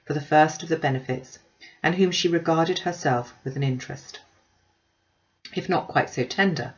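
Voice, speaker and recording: Low-voiced woman reading a classic novel. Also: highs cut off. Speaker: audiobook reader. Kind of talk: scripted narration of a classic novel.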